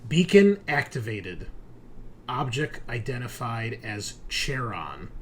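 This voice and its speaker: A man's voice: mid-range voice, very animated, slow pace. Man game-mastering over some background noise. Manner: explanatory, conversational. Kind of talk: narrating and refereeing an online tabletop roleplaying game. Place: indoors at a computer, online game session. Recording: background noise with keyboard typing.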